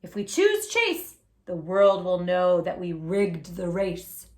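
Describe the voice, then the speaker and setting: A woman's voice: lowish voice, very animated, slow pace, voice pushed down. Woman doing a bossy race committee car. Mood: urgent.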